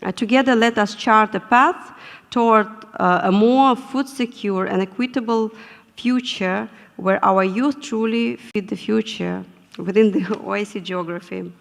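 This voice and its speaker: A woman moderating a conference panel in a measured, formal manner, often pausing with fillers. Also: medium pitch, gravelly. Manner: measured, with frequent hesitations. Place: conference hall. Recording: echoey conference hall.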